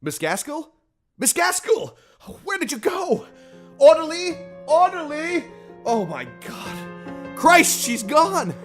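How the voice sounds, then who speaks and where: A man's voice: very high voice, sing-song, slow, a bit bright, faint music behind, heavily acted. An actor playing an earnest, polite, hesitant male literary interviewer in an audio drama. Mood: alarmed.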